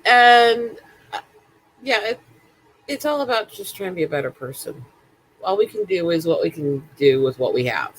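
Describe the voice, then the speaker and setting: A woman's voice: on the low side, big pitch swings, normal speed, pauses often, slight background noise, low-quality recording. A woman in casual livestream chat, talking bluntly and outspokenly.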